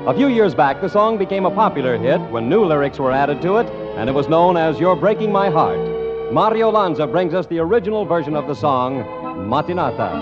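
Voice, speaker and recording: A man's voice: a little high, lively intonation, brisk. A man with an American accent announcing a classic radio show from a script. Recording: dull vintage radio broadcast, music behind. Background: music.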